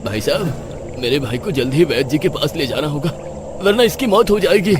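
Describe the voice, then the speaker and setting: A man's voice: fairly high pitch, lively intonation, moderate pace, noisy background, voice pushed up, lightly acted. A man voicing a worried, grateful poor traveller in a horror story, with music behind. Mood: urgent.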